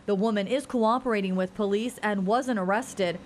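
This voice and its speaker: A woman news reporter narrating a packaged story in a steady, factual way. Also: medium pitch, normal speed, slight background noise.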